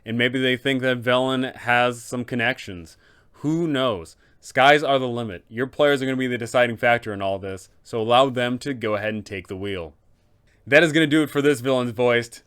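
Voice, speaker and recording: A man's voice: medium pitch, moderate pace, fairly bright tone, smooth. A man hosting an explainer for game masters, speaking in an emphatic, enthusiastic way. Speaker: host, game master giving advice. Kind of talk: semi-scripted explainer on tabletop roleplaying.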